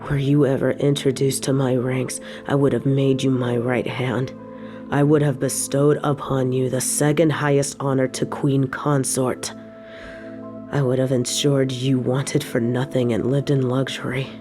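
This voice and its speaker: A woman playing an evil, possessive queen in an intimate audio roleplay, speaking formally and seductively in a breathy voice that often drops toward a whisper. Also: very deep voice, little pitch movement, music in the background. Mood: persuasive, doting. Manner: formal, regal, slow seductive delivery.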